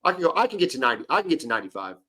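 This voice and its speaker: A man's voice: medium pitch, big pitch swings, racing, bright, forceful tone, slightly rough. A man in his forties co-hosting a sports podcast, an eager storyteller who often jumps in and cuts across his partner. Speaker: podcast co-host. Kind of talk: casual sports chat.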